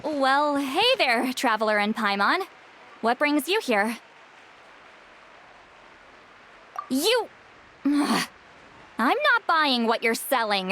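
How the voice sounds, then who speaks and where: A woman's voice: high-pitched, very animated, slow pace, pushed and bright, a little hiss or hum, exaggerated voice. A woman voicing a wry, self-assured, dashing warrior in a video game, teasing and confident. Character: spry, dashing granny warrior with youthful looks, teasing and confident. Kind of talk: video game character acting. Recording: game music behind the voice.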